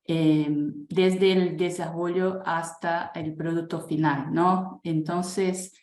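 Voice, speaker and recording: A woman with a Brazilian Portuguese accent, a native Portuguese speaker, presents slowly and hesitantly, filling pauses with fillers and restarting her phrases. Her voice sits low and carries a roomy echo over a video call. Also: flat delivery, darkish tone. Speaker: guest speaker. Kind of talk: webinar presentation.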